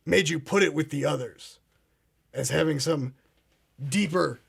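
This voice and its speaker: A man's voice: on the high side, a little slow, gravelly, breathy edge, full character voice. An actor playing a pompous, paranoid male conspiracy talk show host who speaks in a grandiose, ominous way. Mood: grave. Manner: grandiose, ominous, addresses "ladies and gentlemen". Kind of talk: comedic mock talk show.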